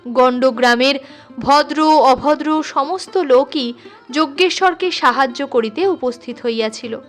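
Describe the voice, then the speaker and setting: A woman reading a literary story in an expressive, deliberate way, as a storyteller. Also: high-pitched. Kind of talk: scripted literary story narration. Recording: music under the voice. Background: instrumental music.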